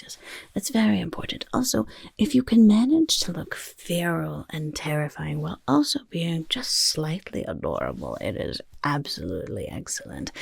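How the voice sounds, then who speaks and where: A woman acts out a chaotic little goblin overlord for an ASMR roleplay. The voice is husky and often whispered, unhurried yet swooping up and down. The goblin fusses and bosses eagerly, repeating words. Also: sits low, no echo, exaggerated voice. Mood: fussy, eager. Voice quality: raspy, creaky whisper. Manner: speaks of self in third person, fussy, repeats words. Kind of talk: soft-spoken ASMR personal attention roleplay.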